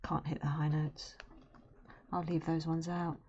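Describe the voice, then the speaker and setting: A woman with a naturally low voice, chatting casually and rambling to her live-stream viewers while she draws. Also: little pitch movement, measured pace, dark tone, slight background noise. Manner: chatty and rambling, drifting into murmurs and humming while she works. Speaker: streamer and artist. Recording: dull lo-fi stream audio.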